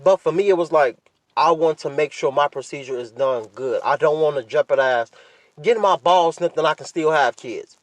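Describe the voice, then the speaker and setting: Higher-pitched man in candid, slangy monologue. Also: moderate pace, no echo. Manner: blunt, heavily profane, slangy, repeats himself for emphasis. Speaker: podcast host.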